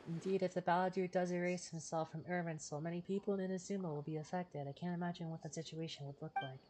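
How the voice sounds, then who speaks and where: A man's voice: sits high, fairly even intonation, quick pace. A male game streamer reading story dialogue aloud during a live playthrough. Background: loud game music.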